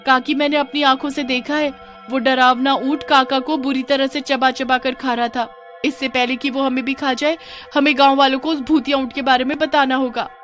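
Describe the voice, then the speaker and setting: A woman's voice: sits high, little pitch movement, normal speed, a bit bright, soft background music, pitched above the performer's own voice. A woman voicing an alarmed, insistent village auntie with urgent exclamations in a horror story. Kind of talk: Hindi horror story character voice.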